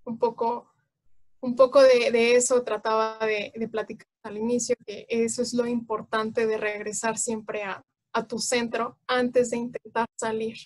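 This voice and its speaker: A woman, a guest in a casual conversation, explaining calmly. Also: fairly high pitch, unhurried, no room sound, poor audio.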